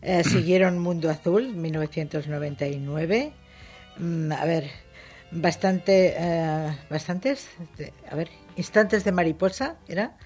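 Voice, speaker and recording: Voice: mid-range voice, a little slow, a little mellow, faint music behind. A radio interviewer asking questions in a courteous, inquisitive way.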